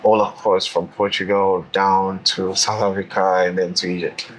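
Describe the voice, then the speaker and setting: Low-voiced man hosting an interview. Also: level tone, normal speed, a little hiss or hum, poor audio. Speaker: interviewer, channel host. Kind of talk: casual conversational interviewing.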